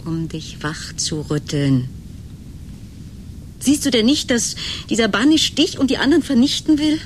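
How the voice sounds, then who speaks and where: An actress playing a worried, intense woman in a German radio drama, pleading emphatically and often sinking into breathy whispers. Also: on the high side, very animated, a little slow. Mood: urgent warning. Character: worried, urgently pleading woman, partner of chess player. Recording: noisy 1980s radio drama recording.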